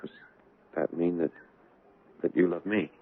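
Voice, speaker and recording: Low-voiced, earnest young doctor. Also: male voice, fairly even intonation, breathy edge. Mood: tender, nervous. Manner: earnest, agitated, halting in dialogue. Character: earnest, troubled young doctor, a devoted son. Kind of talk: 1970s radio drama acting with first-person narration. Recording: muffled vintage radio broadcast, narrow band.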